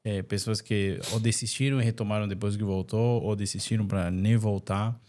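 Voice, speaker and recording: A man's voice: on the low side, fairly even intonation, moderate pace, a little mellow, slight background noise. A Brazilian man hosting a casual podcast chat in an informal, slangy, joking way. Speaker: podcast host.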